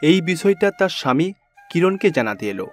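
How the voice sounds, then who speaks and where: A man with a fairly high voice narrates a scripted folktale in storytelling style. Music plays behind him. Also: very animated, moderate pace.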